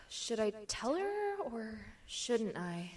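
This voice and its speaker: A young woman playing a perky, naive, earnest farm girl in a comedy radio play, chirpy and expressive. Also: fairly high pitch, extremely slow, full character voice. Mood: flustered.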